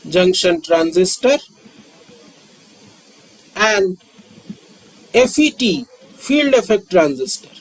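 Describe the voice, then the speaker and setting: A man, lecturing on physics and repeating key terms with frequent pauses. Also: sits high, rough recording. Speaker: physics teacher. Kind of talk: slow, repetitive classroom lecture.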